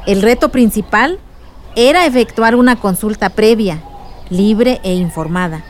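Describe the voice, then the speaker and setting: Una actriz de radionovela que interpreta a una tía resuelta que cuenta y explica sus vivencias a su sobrina. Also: female voice, medium pitch, unhurried, slight background noise, natural voice. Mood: reflective, explanatory. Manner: storytelling, explanatory. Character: aunt recounting past dangers to her niece, warm, resolute. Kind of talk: radio drama acting.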